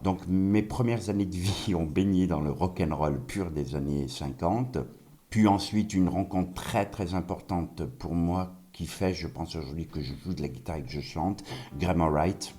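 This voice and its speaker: A man's voice: deep voice, unhurried, dark tone, slightly rough. A middle-aged man from France talking casually and reflectively as a singer-songwriter guest in a radio interview, often in short phrases.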